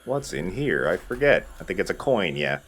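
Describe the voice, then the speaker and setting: A man's voice: sits low, big pitch swings, moderate pace, fairly bright tone, slight background noise. A man giving casual, joking let's play commentary on a video game, swearing freely. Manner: profane, joking banter. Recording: game music behind. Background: video game music.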